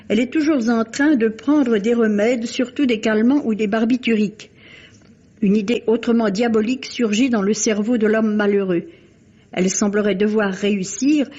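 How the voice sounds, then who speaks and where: A woman's voice: a little high, darkish tone, husky. A woman reading a scripted literary book review on the radio in formal, carefully phrased language. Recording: muffled lo-fi vintage radio recording.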